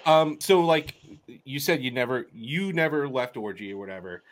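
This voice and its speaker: A man hosting a podcast in casual chat, easygoing and quick to laugh and riff. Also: medium pitch, animated. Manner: easygoing, laughs often, riffs in bits. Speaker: podcast host.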